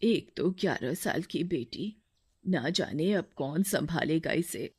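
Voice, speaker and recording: A woman's voice: low voice, animated, takes it slowly, darkish tone, slightly rough, heavily acted. A woman voicing a worried, practical elderly grandmother in a storybook tale.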